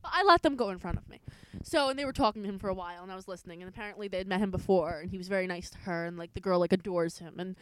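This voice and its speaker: A woman's voice: medium pitch, very fast, a little hiss or hum, rough recording. A young woman co-hosting a podcast, chatting casually and rambling with fillers and restarts. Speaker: podcast co-host. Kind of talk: casual chat.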